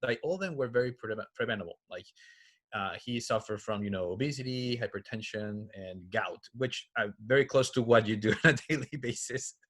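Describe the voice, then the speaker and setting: A man's voice: lowish voice, fairly quick, fairly bright tone. A man from Colombia with a Colombian accent and Spanish as his first language, a doctor telling personal stories in a casual, conversational interview style. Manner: conversational, informal. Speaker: oncologist being interviewed.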